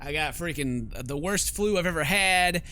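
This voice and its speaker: A man's voice: fairly high pitch, lively intonation, normal speed, sharp tone. A man doing let's play commentary, reading game text aloud and tossing in joking, theatrical asides. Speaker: gaming YouTuber.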